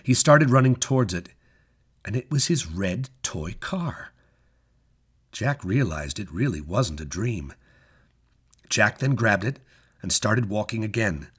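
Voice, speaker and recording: A man reads a scripted story as a narrator, in a measured, expressive storytelling manner with deliberate pauses. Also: mid-range voice, breathy edge. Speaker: story reader.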